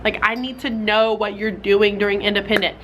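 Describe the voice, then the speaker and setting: A woman's voice: medium pitch, flat delivery, fairly quick, a bit bright, a little hiss or hum, low-quality recording. A young woman, a fourth grade teacher, talking casually in a vlog, a little stuffy from a cold, with lots of "like" and "um". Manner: chatty, filler-heavy with "like" and "um". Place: classroom.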